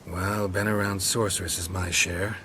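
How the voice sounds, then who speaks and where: A man playing a laconic, world-weary monster hunter in a video game, deadpan and clipped. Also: low voice, moderate pace, slight background noise. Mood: matter-of-fact. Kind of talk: video game character acting.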